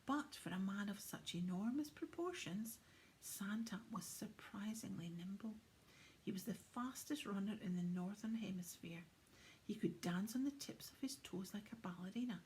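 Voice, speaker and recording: A woman narrating a children's storybook in an expressive, often hushed and dramatic way. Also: mid-range voice, moderate pace, a little hiss or hum, poor audio. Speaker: storybook reader.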